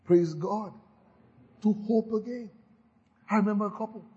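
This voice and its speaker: A man's voice: high-pitched, normal speed, mellow, slightly rough, lo-fi sound. A man preaching a sermon, exhorting his listeners and leading them in repeated declarations with frequent hallelujahs. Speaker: pastor. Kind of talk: sermon with call-and-response declarations.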